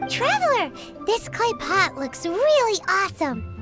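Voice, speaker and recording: A woman voicing a small, excitable, greedy fairy-like girl companion in a video game, with a squeaky childlike voice and bubbly, exclamatory delivery. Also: unhurried, full character voice. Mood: delighted. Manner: bubbly, exclamatory, refers to self in third person. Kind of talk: animated video game voice acting. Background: game music.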